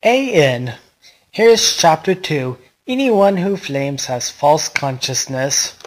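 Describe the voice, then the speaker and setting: A woman's voice: deep voice, lively intonation, slow, a little mellow. A woman reading scripted author's notes in an amateur group reading.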